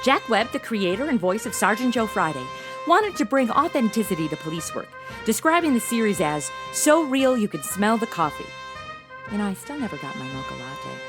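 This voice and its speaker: A woman's voice: mid-range voice, close to an everyday voice. A woman playing a sassy, spunky twenty-something amateur cop in a comedic audio drama, with flippant, slangy delivery.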